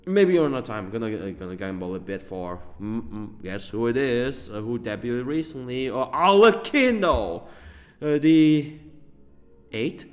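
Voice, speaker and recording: A man's voice: mid-range voice, expressive, unhurried. Rambling man chatting through game pulls. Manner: rambling, full of fillers and chuckles. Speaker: gaming streamer. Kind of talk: casual gameplay commentary.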